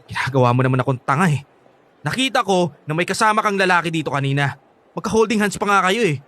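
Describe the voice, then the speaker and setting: Angry, wounded young Filipino son. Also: male voice, high voice, animated, quick pace, bright, forceful tone. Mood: angry, accusing. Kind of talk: radio drama acting.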